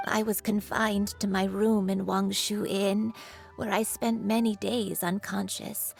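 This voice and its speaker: An actress voicing a frail elderly woman who speaks in a slow, halting, wistful way, in a video game. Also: medium pitch, gravelly, breathy edge, exaggerated voice. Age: older. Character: frail elderly woman recalling a long illness.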